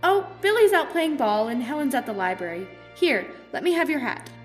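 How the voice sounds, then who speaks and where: A woman's voice: high voice, sing-song, moderate pace, faint music behind. A woman playing a cheerful, affectionate housewife with sweet, teasing manners in an old-time radio drama. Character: cheerful, affectionate 1930s housewife greeting her husband.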